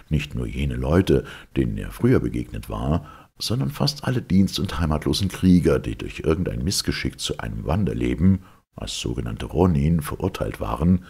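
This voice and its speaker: A man reads a legend in an extremely deep voice. His tone is heavily husky and gravelly, full of creak. He delivers the scripted tale with lively rises and falls, in a measured literary storytelling style. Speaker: audiobook reader.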